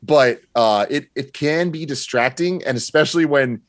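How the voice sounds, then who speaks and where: A man's voice: medium pitch, normal speed, dry recording, studio quality. A man giving a casual, conversational movie review as a podcast guest, explaining his points with examples.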